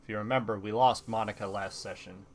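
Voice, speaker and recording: A man hosting a let's play, talking casually with lots of ums, his voice stuffy and a little hoarse. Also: lowish voice, fast, slight background noise. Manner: rambling, relaxed, many ums. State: stuffy, slightly hoarse throat. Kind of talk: casual gameplay commentary. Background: faint game music.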